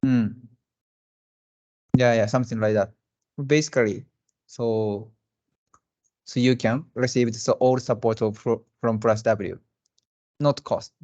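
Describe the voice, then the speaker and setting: A man speaks in an ordinary mid-range voice as he answers questions in an online webinar. His non-native English is halting and packed with fillers and restarts as he hunts for words. The sound is that of a plain video call. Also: medium pitch, fairly even intonation, a little mellow, highs cut off. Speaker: company representative presenting jobs in Japan.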